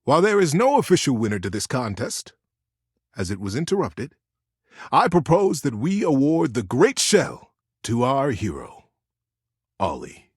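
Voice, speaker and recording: Man putting on a proud storybook leader, male, kindly, ceremonial declaiming, husky, dry sound, game character. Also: medium pitch, huge pitch swings, a little slow, long pauses, no room sound, exaggerated voice.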